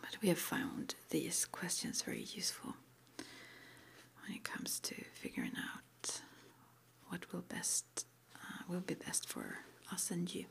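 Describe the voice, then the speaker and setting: A woman doing an ASMR roleplay in a breathy, unvoiced whisper, with a gentle, unhurried manner. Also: on the low side, huge pitch swings. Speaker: ASMR roleplay performer playing a witch school interviewer.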